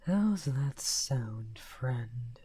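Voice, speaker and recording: A man playing a lonely, misunderstood evil wizard in an ASMR roleplay, speaking softly and courteously in a breathy, whispery, halting voice. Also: mid-range voice, animated, heavily acted. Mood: hopeful, coaxing. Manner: slow, halting, musing.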